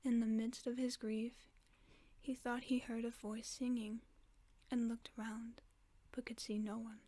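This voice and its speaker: A woman whispers a fairy tale to help the listener fall asleep, speaking very slowly and softly into a close microphone. Her pitch stays flat and even, a little on the high side. The sound is dry, with no room around it. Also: no room sound. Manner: soft, mostly unvoiced whisper. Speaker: ASMR storyteller. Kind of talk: whispered ASMR bedtime story reading.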